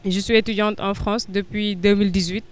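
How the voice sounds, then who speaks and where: A woman's voice: mid-range voice, fairly even intonation, a little fast, a little hiss or hum. A young woman from Senegal with a Senegalese accent, a guest answering interview questions earnestly, hesitating often with filler sounds.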